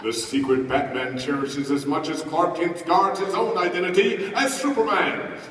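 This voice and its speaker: An excitable vintage radio announcer, played by a man, narrates with high drama. His pitch runs high and forceful, and it booms around a large, echoey convention room. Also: moderate pace, close to an everyday voice. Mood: suspenseful. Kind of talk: 1940s radio serial narration. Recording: echoey convention hall, distant mic, crowd.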